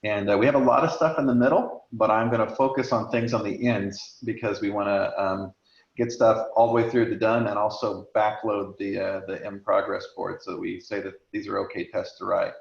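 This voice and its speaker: Gravelly man hosting a video meeting. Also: lowish voice, steady tone, fairly quick. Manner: rambling with many fillers. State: losing his voice, clearing throat. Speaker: meeting host. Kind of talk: casual meeting chat, screen sharing. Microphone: video call. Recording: echoey lo-fi video call.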